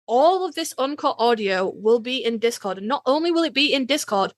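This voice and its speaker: A woman co-hosting a casual, joking fan podcast, telling things in an animated way and swearing freely. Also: fairly high pitch, a little fast. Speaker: podcast co-host.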